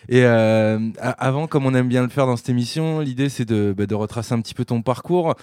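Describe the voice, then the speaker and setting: A man hosting a show in a small studio, leading a relaxed interview full of fillers. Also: medium pitch, moderate pace, clean tone, dry recording. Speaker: radio show host. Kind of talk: casual interview.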